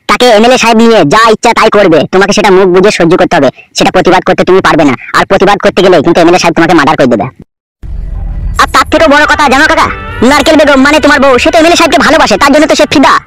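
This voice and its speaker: A man's voice: high voice, rapid-fire, fairly bright tone, soft background music. A man voicing a smug young henchman, bossy and persuasive in a comedy cartoon. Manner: bossy, matter-of-fact persuading.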